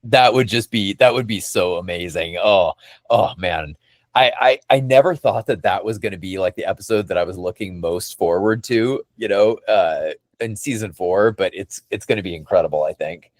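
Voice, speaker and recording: A man's voice: low voice, moderate pace, no echo, studio quality. A man hosting a podcast in casual fan chat, enthusiastic and effusive, rambling and circling back over his phrases. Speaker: podcast host.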